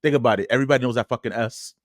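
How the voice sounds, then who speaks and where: A man's voice: medium pitch, very fast, no room sound, clean studio sound. A man from New York City with a New York accent, co-hosting a casual sports podcast, slangy and jokey in the way he talks. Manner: slangy, jokey, peppered with "bro" and "yo". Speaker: podcast co-host.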